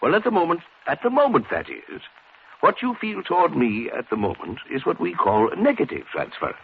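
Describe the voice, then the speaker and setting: An actor playing an older psychoanalyst in a 1940s radio drama, lecturing and probing in a halting, excitable way. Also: male voice, a little high, creaky, husky, exaggerated voice. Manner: didactic, halting, swings into excitement. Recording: scratchy, muffled 1940s radio recording.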